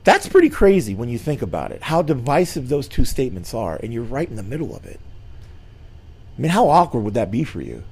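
A slightly hoarse middle-aged man hosting a weekly church show, giving an earnest, informal Bible lesson with many fillers and restarts. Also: medium pitch, wildly swooping pitch, brisk, a little mellow. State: slightly hoarse, losing his voice.

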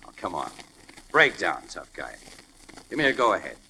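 An actor playing a brash, slangy, wisecracking newspaper photographer turned amateur sleuth in a 1940s radio crime drama. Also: male voice, sits low, wildly swooping pitch, moderate pace, creaky, pushed and bright, exaggerated voice. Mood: cocky, persuasive. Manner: slangy, breezy, cocky. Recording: noisy old-time radio recording.

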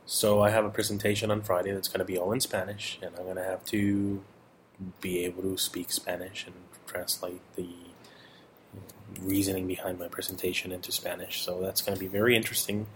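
Man, middle-aged, from Mexico, low voice, casual rambling update, fillers, faint noise behind. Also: a little fast. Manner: relaxed, rambling, with fillers and self-corrections. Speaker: audio blogger.

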